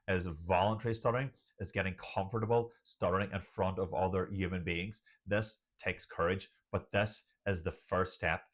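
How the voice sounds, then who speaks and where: A man's voice: sits low, fairly bright tone. An Irish man speaking as a coach, giving motivational advice in an earnest, emphatic way with listed, repeated phrases. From Ireland. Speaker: doctor and stutter coach.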